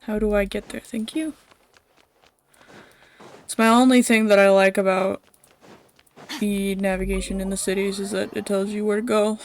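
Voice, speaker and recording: High-pitched man commenting on a game. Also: level tone, moderate pace, long pauses, faint music behind. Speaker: game streamer. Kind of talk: casual live gameplay commentary.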